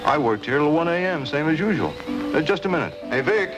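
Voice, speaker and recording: A man's voice: a little high, very animated, brisk, low-quality recording. An actor playing a male concession stand owner questioned by detectives in an old radio drama. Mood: defensive. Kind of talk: 1950s radio drama acting. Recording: old radio recording with music.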